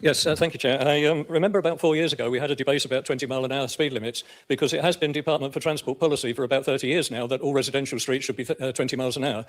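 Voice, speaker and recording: A man's voice: mid-range voice, steady tone, racing, few pauses. An English man speaking formally as a councillor in a debate, in a matter-of-fact way. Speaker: county councillor.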